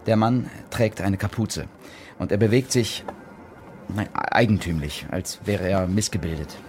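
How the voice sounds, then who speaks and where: Man playing an earnest, low-voiced newspaper reporter. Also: a little mellow, natural voice. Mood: grave. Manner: hesitant, halting, intense. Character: earnest, uneasy male newspaper reporter on murder case. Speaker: actor. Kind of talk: German radio drama acting. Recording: background noise and ambience.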